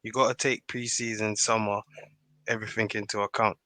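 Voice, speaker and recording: A man's voice: fairly low pitch, little pitch movement, brisk, sharp tone, no room sound. A man giving plain-spoken opinions in a casual football discussion. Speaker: podcast panellist.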